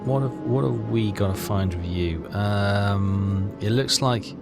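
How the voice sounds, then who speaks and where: Low-voiced man playing through a game, music behind. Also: gravelly. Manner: halting, thinking aloud. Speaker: game walkthrough player. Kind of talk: casual let's play commentary, reading game text aloud.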